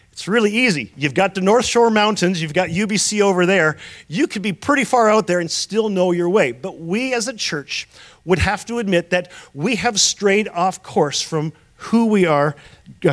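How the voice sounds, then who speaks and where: A man's voice: high voice, fairly bright tone. A man from Canada preaching a sermon, telling stories and asking rhetorical questions. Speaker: pastor.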